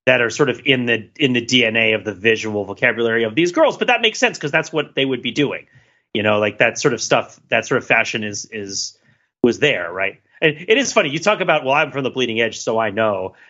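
A man from the United States chats on a podcast with a bright, forward tone. He is lively and laughs easily, stacking fillers and restarts as he thinks aloud. The audio is a little dull and lo-fi. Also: medium pitch, a little fast. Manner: animated, laughs often, many fillers and restarts. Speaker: podcast co-host. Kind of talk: casual chat.

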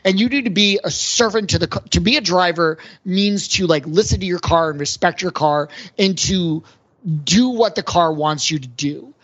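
A man co-hosting a podcast, casually analysing films in an earnest, halting way with repeated words. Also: high-pitched, fairly bright tone, muffled recording. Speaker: podcast co-host.

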